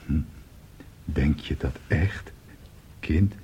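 A man's voice: very deep voice, drawn-out words, dark tone, lo-fi sound, slight background noise, full character voice. An actor playing a weary, doubtful middle-aged father in a Dutch radio play, hesitant and puzzled.

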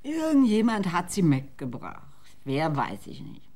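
Bitter drunk woman, cursing, hostile, radio drama, lowish lively voice. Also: unhurried, exaggerated voice. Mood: wistful. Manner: cursing, scornful, breaks into tears. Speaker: voice actor.

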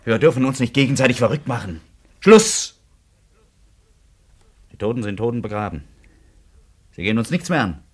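An actor in a German radio play playing a guilt-tormented bridegroom, weary, tense and irritable, with a husky voice. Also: male voice, mid-range voice, animated, moderate pace, long pauses. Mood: tormented, insistent.

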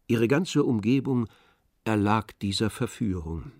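A man's voice: on the low side, expressive, measured pace, no echo, studio quality. A man narrating a literary radio play from a script.

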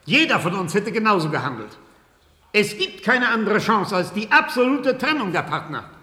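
Pompous high-voiced professor, echoey hall. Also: male voice, big pitch swings, moderate pace, bright, forceful tone, heavily acted. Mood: indignant. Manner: pompous, emphatic, repeats himself. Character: defensive, blustering male professor heading a clinic. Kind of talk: 1970s German radio drama acting. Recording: echoey hall with long reverb.

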